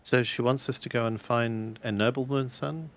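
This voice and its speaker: A man's voice: medium pitch, steady tone, moderate pace. A man playing a tabletop roleplaying game, improvising dialogue in a hesitant, questioning way as he thinks aloud.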